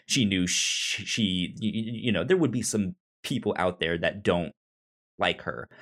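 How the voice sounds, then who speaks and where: A man's voice: low voice, unhurried, fairly bright tone. A man co-hosting a podcast, chatting casually about a TV drama, his speech broken by stuttered, repeated sounds. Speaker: podcast co-host.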